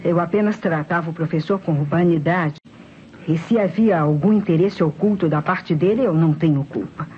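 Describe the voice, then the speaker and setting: An actress playing a genteel, defensive wife, formal and hesitant, in old radio drama style. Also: female voice, lowish voice, normal speed, lightly acted. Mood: defensive, pleading. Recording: muffled, faintly noisy old radio recording.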